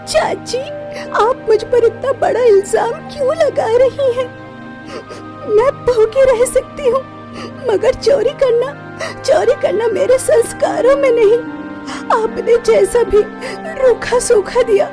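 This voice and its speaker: Woman acting a very high meek pleading girl. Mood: tearful, wronged. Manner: pleading, polite. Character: meek wronged young daughter, dutiful and pleading. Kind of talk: melodramatic moral-story cartoon acting. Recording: music behind the voice.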